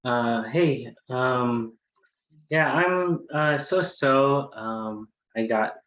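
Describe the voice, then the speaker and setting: Sick man, slow and subdued, echoey room, lo-fi call sound. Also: medium pitch, fairly bright tone. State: sick with stomach flu. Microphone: video call.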